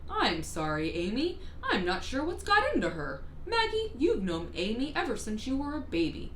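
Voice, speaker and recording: Flustered scolding dad, voiced by a woman, animated. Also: high-pitched, normal speed, pitched above the performer's own voice, exaggerated voice. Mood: aghast, apologetic. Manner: exasperated, apologetic. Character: flustered, scolding, embarrassed father of a young girl. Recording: roomy echo with faint background noise.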